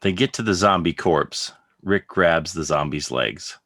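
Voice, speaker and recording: A man's voice: low-pitched, unhurried, fairly bright tone, no echo. A man reading stage directions as scripted, present-tense narration for a table read. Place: remote video call table read, dry sound.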